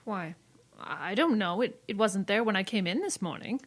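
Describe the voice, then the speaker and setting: A woman's voice: medium pitch, animated, normal speed, close to an everyday voice. A woman playing a prim, anxious newspaper secretary in an old-time radio drama. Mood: anxious, shocked.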